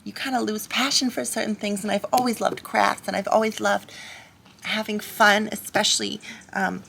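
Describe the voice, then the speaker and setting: A woman voicing a nasal suburban cartoon mom who is nervous and edgy, chatting haltingly as if hosting a craft vlog. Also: medium pitch, fairly even intonation, vocal fry, fairly bright tone, husky. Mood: chatty, wistful. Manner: halting, chatty, rambling with salty asides. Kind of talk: parody craft vlog in character.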